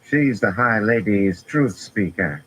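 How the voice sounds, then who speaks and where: A man's voice: on the low side, expressive, takes it slowly, a bit bright, slight background noise, voice pushed up, poor audio. A man voicing a defiant, gray-haired woman captor who speaks in a twangy drawl, in an audiobook. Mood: insistent. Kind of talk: audiobook character voice.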